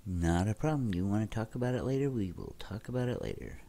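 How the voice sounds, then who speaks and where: A man's voice: fairly low pitch, expressive, quick pace, creaky, warm tone, a little hiss or hum. A young man hosting a let's play, chatting casually with viewers while sounding stuffy and congested. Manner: chatty, addresses viewers directly. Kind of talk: casual gameplay commentary.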